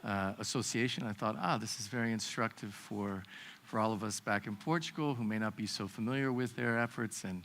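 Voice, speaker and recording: A man moderating a conference panel, introducing the next speaker in a warm, informal way. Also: fairly low pitch, fairly quick, a little hiss or hum.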